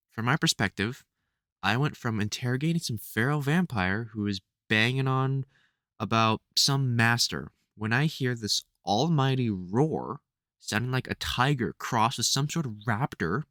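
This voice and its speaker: A man with a British accent playing a caring veteran vampire hunter in an audio roleplay, halting and sweary, exasperated but gentle. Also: mid-range voice, no room sound, studio quality. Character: gruff, caring veteran male vampire hunter, protective and worried. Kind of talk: audio roleplay, speaking to an unheard listener.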